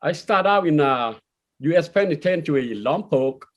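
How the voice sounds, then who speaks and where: A man's voice: fairly high pitch, lively intonation, moderate pace, lo-fi sound. A man born in Laos and raised in Iowa, telling his own story in plain, informal speech with loose grammar. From born in Laos, grew up in Iowa. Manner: plain-spoken, non-standard grammar. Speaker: interview guest, former prisoner. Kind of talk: conversational personal account.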